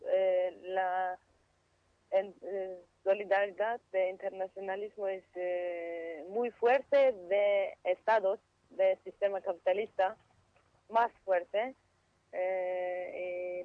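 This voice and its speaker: Halting woman on a dull phone line. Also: mid-range voice, steady tone, no room sound. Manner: hesitant, halting non-native speech, slips into English. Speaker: interview guest, activist. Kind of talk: halting radio interview over the phone.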